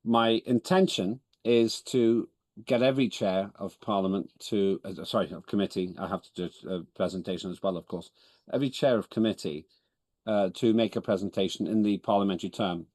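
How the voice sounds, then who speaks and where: A man's voice: lowish voice, brisk, a little mellow, no room sound. A man whose first language is Estonian, chairing an online parliamentary meeting in a businesslike way, often correcting himself with a dry humor. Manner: businesslike, self-correcting, wry. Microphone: video call.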